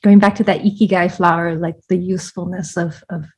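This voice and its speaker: A woman from Vietnam, an author talking through her thoughts in a relaxed interview, with lots of fillers and restarts. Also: lowish voice, a little fast, breathy edge. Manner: reflective, many fillers and restarts, laughs often. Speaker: author and guest. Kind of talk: casual interview conversation.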